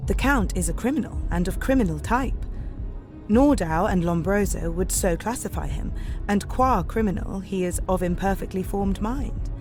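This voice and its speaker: A woman's voice: medium pitch, lively intonation, moderate pace, close to an everyday voice. An actress playing an intelligent, composed Victorian married woman in an audio drama, reasoning precisely and formally. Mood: analytical, assured. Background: music.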